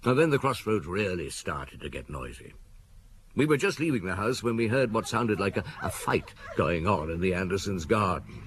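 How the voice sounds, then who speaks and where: An actor playing a shrewd, authoritative chief inspector with a husky voice in a radio murder mystery. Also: male voice, low-pitched, expressive, normal speed, a little hiss or hum. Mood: dry recounting. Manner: probing, authoritative, wry. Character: shrewd, commanding male police chief inspector.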